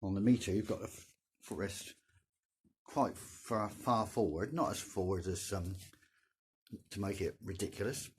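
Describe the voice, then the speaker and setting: An older man with a croaky, sore-throated voice, talking through a motorcycle video at a measured pace from his garage. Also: lowish voice, slight background noise. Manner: measured, gentle, with frequent pauses and fillers. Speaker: motorcycle vlogger. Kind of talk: casual narrated walkaround. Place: indoor garage.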